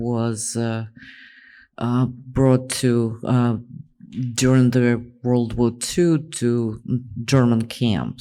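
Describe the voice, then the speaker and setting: A middle-aged man from Ukraine with a Ukrainian accent, a native Russian speaker, answering on a panel in slow, halting English full of fillers. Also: medium pitch, darkish tone, clear, resonant tone.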